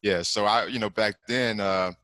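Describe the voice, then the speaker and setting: A man's voice: lowish voice, fairly even intonation, moderate pace, pushed and bright, smooth. Laid-back middle-aged Texan man on a video call. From Galveston, Texas. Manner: laid-back, rambling, frequent "man" and "you know what I'm saying". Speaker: guest, commercial lender. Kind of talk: casual interview over video call.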